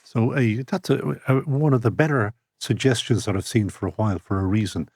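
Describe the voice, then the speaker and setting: A man's voice: on the low side, animated, brisk, darkish tone, slightly rough, no room sound, clean studio sound. A middle-aged Irish man hosting a podcast interview, casual, wry and joking. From Ireland. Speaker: podcast host.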